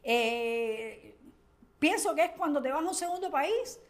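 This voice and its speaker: An older woman from Cuba speaks with a Cuban Spanish accent in a high, clear-pitched voice. She talks earnestly and thoughtfully as an interview guest, pausing often with small hesitations as she gathers her words. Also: lively intonation. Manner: earnest, reflective, with frequent hesitations. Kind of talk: conversational interview.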